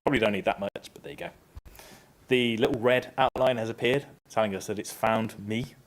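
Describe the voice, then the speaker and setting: A man presenting a casual, upbeat software tutorial on a conference stage, stepping through each click. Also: on the low side, fairly quick. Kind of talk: live software tutorial talk.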